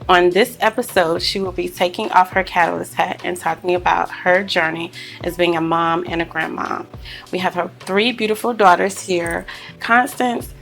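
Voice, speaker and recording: Gravelly-voiced woman podcast host. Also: fairly low pitch, fairly bright tone. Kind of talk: interview host.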